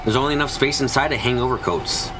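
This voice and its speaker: A man's voice: mid-range voice, fast talker, over music, natural voice, rough recording. A man voicing an anxious, frightened narrator trapped and desperate, in a first-person visual novel fan dub. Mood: uneasy, brooding. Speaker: voice actor narrating.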